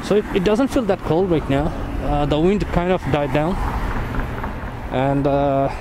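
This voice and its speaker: A man giving relaxed, musing commentary on a walking tour outdoors on a city street, pausing between his remarks. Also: a little high. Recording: street traffic noise outdoors. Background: traffic and street ambience.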